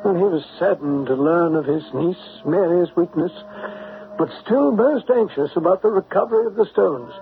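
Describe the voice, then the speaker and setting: A man playing an earnest gentleman narrator in a classic radio drama, telling the tale in a measured way. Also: sits high, lively intonation. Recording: tinny, slightly noisy old radio recording.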